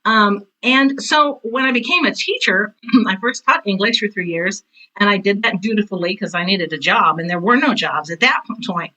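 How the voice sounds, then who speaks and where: Woman in her sixties, bright sharp tone, average pitch, conversational storytelling, emphatic, interview guest. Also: normal speed, low-quality recording. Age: 60s. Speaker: interview guest, retired theater teacher and director.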